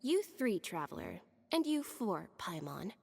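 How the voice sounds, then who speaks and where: An actress playing a cool, unflappable young sister in a video game, blunt, deadpan and matter-of-fact. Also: female voice, on the low side, measured pace, creaky, slight background noise, full character voice. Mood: reproachful.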